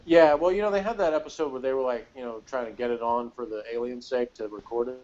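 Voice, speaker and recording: A man's voice: mid-range voice, quick pace, darkish tone. A man co-hosting a casual review podcast, talking in a rambling, hedging, wry way. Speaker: podcast co-host reviewing a TV episode. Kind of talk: casual chat. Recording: dull, lo-fi sound.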